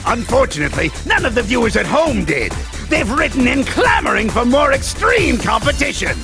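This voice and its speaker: Mad scientist game villain, pompous, gloating, booming, gravelly, wildly swooping delivery, music underneath. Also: male voice, big pitch swings, normal speed, heavily acted. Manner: booming, pompous, bellowed villain laughs and taunts. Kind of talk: theatrical video game cutscene acting.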